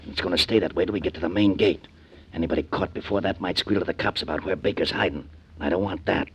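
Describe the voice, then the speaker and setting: An actor playing a hard, suspicious convict leader in a 1940s radio crime drama, talking in terse, bossy gangster slang. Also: male voice, low voice, fairly even intonation, fast talker. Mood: conspiratorial. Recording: noisy 1940s radio recording.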